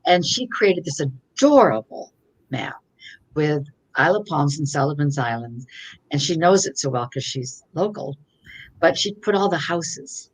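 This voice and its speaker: An older woman author with a low, slightly gravelly voice, chatting casually on a video call and often trailing off and restarting. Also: rough recording. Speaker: author guest. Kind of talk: casual conversation on a virtual event. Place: home, virtual call.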